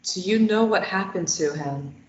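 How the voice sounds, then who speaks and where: A woman's voice: lowish voice, moderate pace, lightly acted. A woman reading a sardonic, probing young captive at a play table read, teasing and wry. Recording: echoey room, muffled lo-fi.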